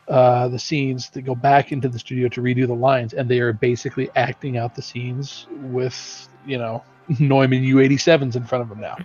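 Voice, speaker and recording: A man's voice: mid-range voice, darkish tone, low-quality recording. A man giving informal advice as an audio lead on a live stream, stressing points by repeating words.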